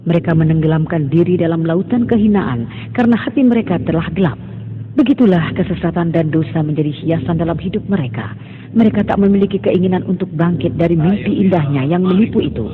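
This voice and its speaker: A man's voice: fairly high pitch, quick pace, phone-quality sound. A man giving solemn, grave scripted narration for a dubbed cartoon.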